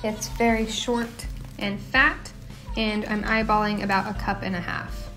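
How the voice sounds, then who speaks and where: A young woman hosting her own home cooking show, talking through each step in a relaxed, chatty way with small asides. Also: medium pitch, measured pace, soft background music, low-quality recording. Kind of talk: casual cooking voice-over. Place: home kitchen.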